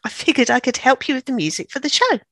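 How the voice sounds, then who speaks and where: A woman's voice: high-pitched, big pitch swings, fast talker, pushed and bright, no echo. A woman doing scripted comic banter as co-producer of an audio show.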